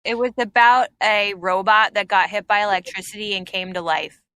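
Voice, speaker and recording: A woman's voice: mid-range voice, fairly even intonation, normal speed, fairly bright tone, clear, resonant tone, dry recording. A woman comedian chatting as a guest on a podcast, reminiscing with plenty of fillers. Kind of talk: casual podcast interview.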